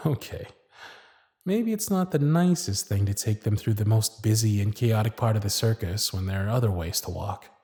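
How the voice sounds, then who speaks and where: Man playing a smitten, soft-spoken circus magician, husky voice. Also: medium pitch, dry recording, studio quality, full character voice. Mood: hushed longing. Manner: soft-spoken, flattering, tender, with hushed asides. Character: smitten male circus magician, charming, gentle, privately anxious. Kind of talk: ASMR romance roleplay with intimate inner monologue.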